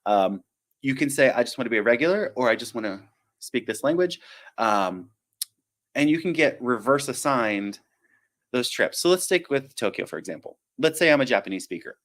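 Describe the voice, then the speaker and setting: A man's voice: medium pitch, animated, normal speed, fairly bright tone. A man, a flight attendant hosting a casual live-stream Q&A, chatty and self-deprecating, drifting into tangents and acting out little quotes.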